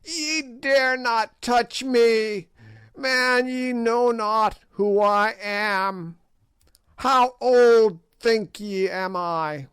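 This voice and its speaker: A man's voice: drawn-out words, a bit bright, clean tone, no echo, clean studio sound, exaggerated voice. A man voicing an ancient, evil witch woman who shrieks and cackles in old-fashioned speech. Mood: furious. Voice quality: shrill, cackling.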